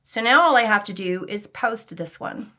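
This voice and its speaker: A woman instructor walking through software step by step in an informal, conversational and instructive way. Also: medium pitch, expressive, normal speed.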